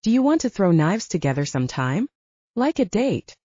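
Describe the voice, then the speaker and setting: A woman voices a hesitant, smitten young heroine in an audiobook. She sounds awkward and guarded, with a mellow tone and lively pitch. The recording is dry and a bit dull. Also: moderate pace, breathy edge, dry recording. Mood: awkward, hopeful. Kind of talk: audiobook character dialogue.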